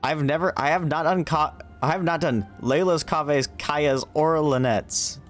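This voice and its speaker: A man's voice: fairly high pitch, measured pace. A man livestreaming a game, chatting casually and swearing as he talks to himself and the game. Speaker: gaming livestreamer. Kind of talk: casual livestream chat while playing. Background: video game music.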